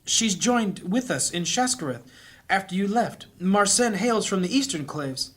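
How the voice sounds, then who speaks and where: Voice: mid-range voice, animated, measured pace, slightly rough. An actor playing an anxious, honest priest, urgent and earnest, in a fantasy audio drama. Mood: explaining.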